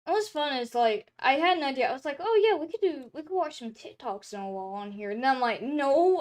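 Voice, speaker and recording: A young woman with a fairly high voice chats loosely on a stream, circling back with fillers and restarts as she talks. Her throat sounds a bit dry and hoarse. She is talking from a room at home. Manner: rambling, chatty, lots of filler words and restarts. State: throat drying, slightly hoarse. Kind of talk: casual stream chat.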